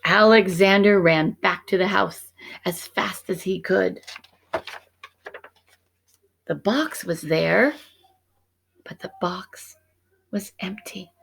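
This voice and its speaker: A woman teacher narrating a children's picture book expressively, measured and dramatic, often dropping to hushed tones. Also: mid-range voice, gravelly, breathy edge.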